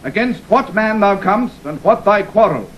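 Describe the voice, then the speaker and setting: High-voiced medieval herald-marshal. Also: male voice, animated, normal speed. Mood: ceremonial. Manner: ceremonial, proclaiming. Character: formal medieval Lord Marshal proclaiming at lists. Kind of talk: classical Shakespearean audio drama acting. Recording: noisy 1960 audio drama recording.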